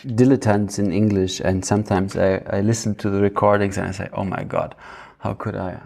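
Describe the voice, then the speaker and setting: A man with a low, gravelly voice and a German accent hosts a casual podcast. He speaks haltingly, searching for words in an easy conversational style. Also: level tone, a little mellow. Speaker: podcast host.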